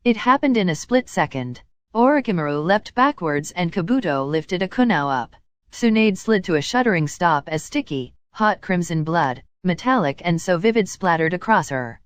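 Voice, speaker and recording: A woman with a slightly low voice reads scripted fiction as a narrator. The recording is dry and close, with very little room sound. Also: expressive, moderate pace, dry recording. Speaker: audiobook reader of fan fiction.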